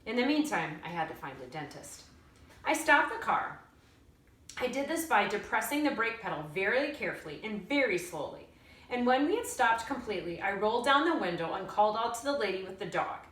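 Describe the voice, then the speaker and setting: Lively woman reading aloud in an echoey room. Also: mid-range voice, a little fast. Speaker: teacher reading a chapter book aloud. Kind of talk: expressive read-aloud storytelling. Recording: roomy echo, distant mic.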